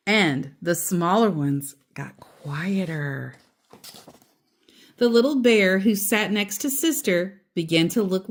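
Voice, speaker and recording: A woman storyteller reading a children's book aloud with expressive, deliberate, emphatic phrasing and casual asides. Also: medium pitch.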